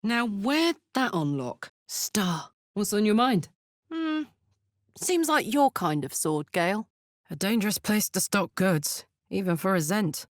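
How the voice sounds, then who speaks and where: A woman voices a tough, fiery video game warrior with a big heart and a casual, sweary swagger. Her delivery takes its time and swings widely in pitch, moving between blunt bravado and earnest loyalty. The sound is dry and clean, with no room around it. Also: animated, a little slow, dry recording, close to an everyday voice. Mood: casual, teasing. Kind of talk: video game character voice lines.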